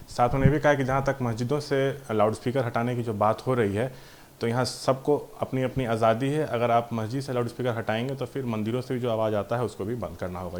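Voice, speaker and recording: A man from India working as a TV news reporter, asking questions and summing up in a conversational, slightly halting way. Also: mid-range voice. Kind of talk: news interview questions and field sign-off.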